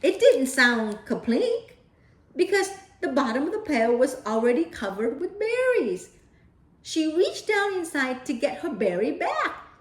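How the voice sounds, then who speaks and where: A woman's voice: high-pitched, big pitch swings, a little slow. A woman storyteller reading a children's picture book aloud. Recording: roomy echo.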